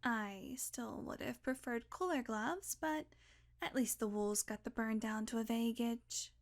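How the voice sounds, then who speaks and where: A sardonic female vampire with a fairly high voice, played by a woman, talking one-to-one in an audio roleplay. She is centuries old but banters casually, swearing and teasing while grudgingly caring for her listener. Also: a little slow. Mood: wry, irritated.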